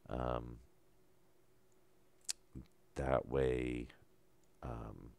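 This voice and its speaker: A man calmly talking through a survival game as he plays, low-key and unhurried, with no put-on enthusiasm. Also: gravelly. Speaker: gameplay commentator. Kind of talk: casual let's-play commentary.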